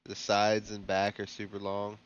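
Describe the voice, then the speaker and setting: Young male co-host at home, relaxed low voice, smooth, lo-fi call audio. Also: normal speed. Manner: laid-back, dry wisecracks, swears casually. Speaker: podcast co-host. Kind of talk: casual chat.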